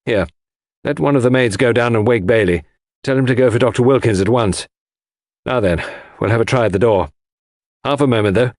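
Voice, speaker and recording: A man voicing a hearty, decisive country gentleman with a brisk, practical manner in an audiobook. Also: mid-range voice, dry recording, clean studio sound, lightly acted. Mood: urgent, practical.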